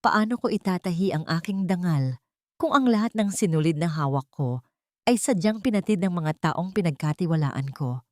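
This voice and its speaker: A woman acts out a humble, wounded young widow in an audiobook drama. Her speech is low, trembling and pleading, recorded dry. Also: animated, moderate pace, dry recording, lightly acted. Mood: anguished.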